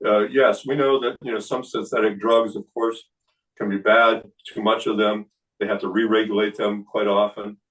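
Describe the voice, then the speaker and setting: A man in his late sixties speaks in a low, unhurried voice, explaining things with plenty of "uh" and "you know". He sounds a little distant from the microphone, as if picked up by a camera across an indoor room. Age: 60s. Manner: relaxed explaining with many fillers. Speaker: kung fu teacher. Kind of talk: seminar talk.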